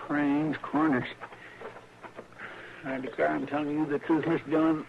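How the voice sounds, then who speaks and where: Chester, a fretful drawling deputy. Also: male voice, on the high side, flat delivery, unhurried, gravelly. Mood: complaining. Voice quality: folksy, fretful, plaintive. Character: Chester, drawling talkative male deputy sidekick. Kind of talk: 1950s radio drama acting. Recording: lo-fi old radio broadcast with hiss.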